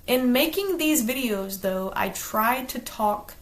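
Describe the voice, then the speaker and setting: A woman from South Carolina with a Southern accent, hosting her own channel and talking casually to camera about a book she read, partly reading from a script. She is chatty and earnest, and slows down to enunciate. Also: a little high, lively intonation. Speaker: channel host, book reviewer.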